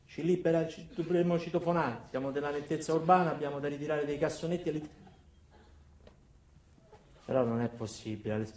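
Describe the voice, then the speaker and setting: A stage actor playing a polite, hesitant garbage collector who pleads apologetically in a comic monologue. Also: male voice, on the high side, a little mellow, pitched below the performer's own voice, close to an everyday voice. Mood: pleading, apologetic. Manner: halting, apologetic. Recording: roomy, echoey stage with background noise.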